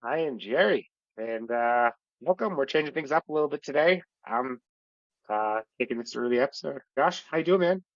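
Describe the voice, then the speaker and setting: A man's voice: medium pitch, lively intonation, moderate pace, no room sound. Stuffy-nosed guy cohosting a podcast, laid back, dull dry sound. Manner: easygoing, agreeable, lots of fillers. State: congested from illness. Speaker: podcast co-host. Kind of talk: casual chat.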